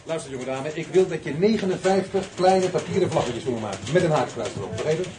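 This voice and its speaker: An actor playing a brisk, methodical police chief inspector giving orders in a radio play. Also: male voice, fairly high pitch, animated, background noise, close to an everyday voice. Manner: brisk, commanding. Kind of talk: radio drama acting.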